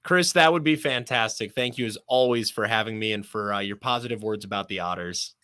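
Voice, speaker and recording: A man's voice: fairly low pitch, brisk, sharp tone, smooth, no echo, clean studio sound. A man speaking as a sports announcer guest in a conversational podcast interview, talkative and emphatic.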